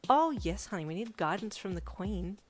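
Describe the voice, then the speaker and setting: A woman's voice: medium pitch, expressive, moderate pace, lightly acted. A woman voicing a flamboyant, affectionate, gossipy man in an audio story, with camp, emphatic delivery and sing-song endearments. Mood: gushing, emphatic.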